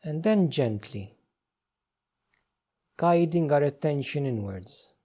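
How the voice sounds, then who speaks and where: A man leading a guided meditation, giving gentle, unhurried instructions with frequent pauses. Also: a little high, lively intonation, husky.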